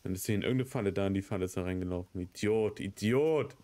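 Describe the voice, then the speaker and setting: A man's voice: sits low, fast talker, mellow. Man with a cold doing gameplay commentary. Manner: sweary, muttering reactions to the game. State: has a cold, coughing. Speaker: let's play host.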